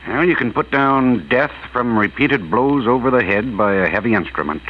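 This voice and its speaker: A man's voice: medium pitch, lively intonation, normal speed, lightly acted. A man playing a world-weary, blunt coroner in a 1940s radio drama, dry and sardonic. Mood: wry, weary. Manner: dry, sardonic, matter-of-fact. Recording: muffled vintage radio, faint noise.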